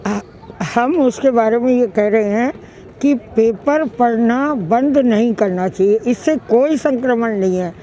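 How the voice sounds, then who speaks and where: A woman's voice: a little high, a little slow, dark tone, rough recording. A middle-aged woman answering street interview questions outdoors in a park, speaking fondly and emphatically and repeating herself. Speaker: longtime newspaper reader interviewee.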